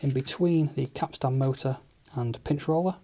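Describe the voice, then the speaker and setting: A man giving a relaxed, methodical hands-on tutorial as a studio engineer, walking through each step with the odd filler. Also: medium pitch, moderate pace, slight background noise. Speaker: studio engineer demonstrating equipment.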